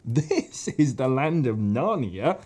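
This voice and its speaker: A man's voice: medium pitch, sing-song, takes it slowly, darkish tone. A man voicing a timid, polite male faun who stammers and repeats his words anxiously. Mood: courteous.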